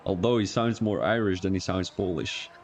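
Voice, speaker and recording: Low-voiced Antwerp-accented young man. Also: steady tone, moderate pace, a little hiss or hum. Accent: Antwerp Flemish. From Belgium. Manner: rambling, chuckling, self-correcting. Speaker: race commentator. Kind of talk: casual livestream chat.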